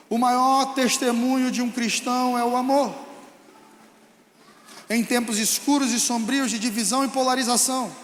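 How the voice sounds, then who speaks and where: A male pastor with a Brazilian Portuguese accent preaching a fervent sermon that rises to shouts. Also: very high-pitched, slight background noise. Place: church hall. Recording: echoey hall with long reverb.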